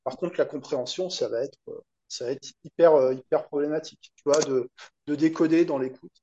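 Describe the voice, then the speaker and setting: A man with a French accent and a fairly high voice for a male. He converses casually as a host, feeling his way through phrases. The sound is a little dull and lo-fi. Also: brisk, dark tone. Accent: French from France. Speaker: podcast host.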